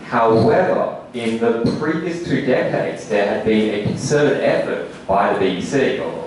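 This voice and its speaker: Man, audience questioner, lowish pitch, polite roundabout phrasing, very echoey distant pickup. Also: a little mellow. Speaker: audience member asking a question. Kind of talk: polite discursive question from the floor. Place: echoey indoor lecture room. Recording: very echoey room, distant mic, faint noise.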